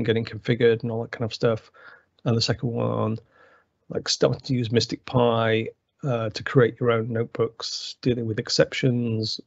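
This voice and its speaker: Man, gravelly voice, rambling webinar presenter, dry muffled audio. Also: medium pitch, no echo. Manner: rambling, many fillers and restarts. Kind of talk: technical webinar walkthrough.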